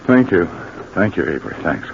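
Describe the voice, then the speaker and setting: Heartbroken male colonel, pleading, very expressive melody, halting, noisy old radio drama recording. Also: low-pitched, gravelly, narrow, tinny audio, full character voice. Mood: shaken. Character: heartbroken, disbelieving colonel betrayed by his sweetheart. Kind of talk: 1940s radio drama acting.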